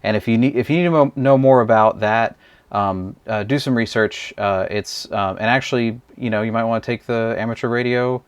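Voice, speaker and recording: A man's voice: medium pitch, fairly quick, clean tone, dry recording. A man casually walking through a gadget's buttons and menus, explaining each feature as he handles it. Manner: relaxed, explanatory, talks while handling the device. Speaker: product reviewer. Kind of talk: casual hands-on gadget walkthrough.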